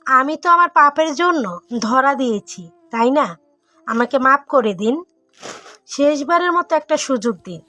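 Woman as high, pleading cornered wrongdoer. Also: animated, measured pace, sharp tone, no room sound, exaggerated voice. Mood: desperate pleading. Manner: pleading, emotional. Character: cornered wrongdoer begging for mercy, secretly spiteful. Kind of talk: dramatised audio story. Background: music.

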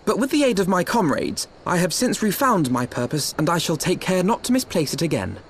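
High-voiced earnest young noble. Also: male voice, sing-song, slight background noise. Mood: solemn resolve. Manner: formal, measured, courtly. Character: earnest, well-bred young male noble. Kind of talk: dramatic video game acting.

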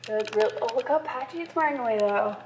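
A woman's voice: fairly high pitch, quick pace, over loud music. A woman in her twenties chatting casually and playfully with a friend near a handheld camera. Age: 20s. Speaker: vlogger's friend. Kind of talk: casual chat. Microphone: handheld camera nearby. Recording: noisy handheld camera audio. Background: loud ambient noise.

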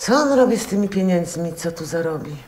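Sharp-tongued office woman, middle-aged, disdainful, actress, film drama; lowish voice, roomy sound with faint noise. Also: lively intonation, brisk, natural voice. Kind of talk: naturalistic film drama dialogue.

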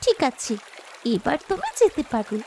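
Woman playing a high, animated earnest child. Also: moderate pace, exaggerated voice. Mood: cautioning. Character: brave human child Kiran, earnest, anxious rescuer. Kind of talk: animated fairy-tale cartoon voice acting.

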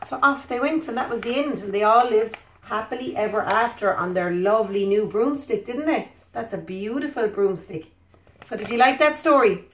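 Preschool storyteller: Irish woman, thirties, highish voice, expressive and questioning, roomy indoor recording. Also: normal speed, a little hiss or hum. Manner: expressive, questioning, simple child-directed talk. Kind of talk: animated children's story reading with asides. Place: living room, indoors. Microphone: phone or webcam at about arm's length. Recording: echoey living room.

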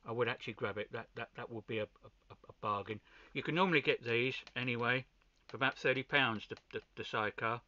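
Halting man with a bright, forceful voice. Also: mid-range voice. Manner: hesitant, halting, repeats words. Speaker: amateur radio hobbyist presenting a demo. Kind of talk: casual unscripted equipment walkthrough. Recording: dull, lo-fi sound.

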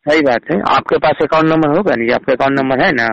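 A man's voice: a little high, fast talker, a bit bright. A man on a recorded phone call, insistently persuading like an official and mixing in English words. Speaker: phone caller posing as an official. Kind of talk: persuasive sales-like phone pitch.

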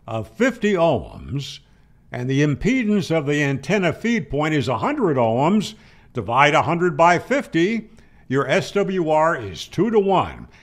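A man's voice: on the high side, fairly bright tone. An older man giving an explanatory tutorial, speaking plainly and emphatically and answering his own questions. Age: older, 60s or 70s. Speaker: amateur radio instructor, host. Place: home room, indoors. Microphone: studio microphone on boom arm, close.

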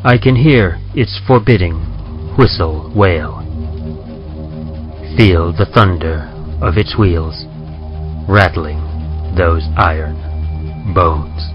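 A man playing a grim horror storyteller, reading verse in old-time radio style with an ominous, measured, folksy delivery. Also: sits low. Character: grim, doom-laden male horror-train storyteller. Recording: dull lo-fi audio under loud music.

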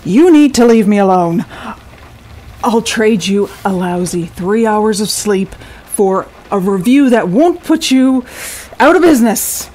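Menacing, slow-talking volatile guest. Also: female voice, mid-range voice, lively intonation, darkish tone. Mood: angry, defiant. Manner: menacing, slow and deliberate, contemptuous. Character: volatile, threatening female short-term rental guest. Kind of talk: audio drama horror acting.

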